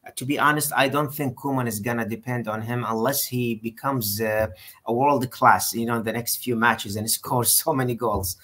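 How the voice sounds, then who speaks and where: A middle-aged man on a video call giving careful football opinions, with a deliberate, slightly formal way of speaking and many pauses. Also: mid-range voice, steady tone, a bit bright. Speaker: co-host.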